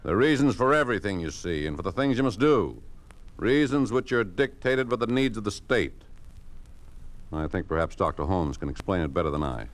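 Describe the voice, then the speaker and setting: An actor playing a weary, domineering communist official in a 1950s radio drama, speaking deliberately and with condescension. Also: male voice, fairly low pitch, animated, lightly acted. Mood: condescending, weary. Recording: vintage 1950s radio broadcast.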